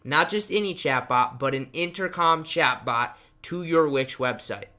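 A man's voice: fairly high pitch, unhurried, pushed and bright. A young man hosting a casual screen-share tutorial, talking in an upbeat, emphatic way like a salesman. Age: young adult. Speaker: tutorial host and web design trainer.

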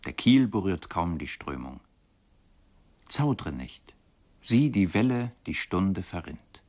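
Radio drama: solemn, dreamy poetic speaker, very unhurried, low-pitched, deliberate recitation. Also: male voice, exaggerated voice. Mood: solemn, beckoning. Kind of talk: poetic recitation, 1970s German radio play.

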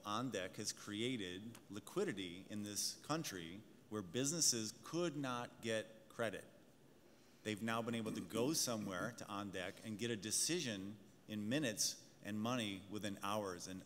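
A man's voice: lowish voice, measured pace, a bit bright. Panelist, male, assured sales manner; table mic in a large hall with a faint hum behind. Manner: confident, salesy, addresses the audience. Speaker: fintech executive panelist. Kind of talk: conference panel talk. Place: large conference hall stage. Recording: hall reverb, faint room noise.

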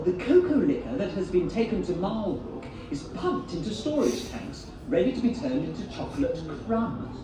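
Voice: medium pitch, very noisy. A narrator delivering scripted exhibit narration in an explanatory tour manner. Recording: distant echoey exhibit speakers with crowd.

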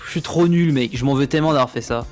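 Very fast-talking frustrated French gamer. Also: male voice, medium pitch, a little mellow, faint music behind. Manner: rapid, frustrated, swearing. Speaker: co-player, subscriber. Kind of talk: casual gaming chat.

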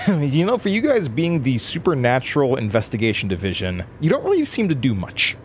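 A man acting a troubled, sardonic radio host in a horror audio drama, halting and sighing. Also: medium pitch, wildly swooping pitch, slight background noise. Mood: wry, probing. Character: troubled radio host, weary, sardonic, freshly released from custody.

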